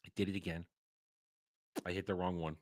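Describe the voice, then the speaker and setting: A man hosting a casual livestream, rambling and excitable, restarting his sentences often. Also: low-pitched, a little fast, dry recording, studio quality. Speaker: livestream host.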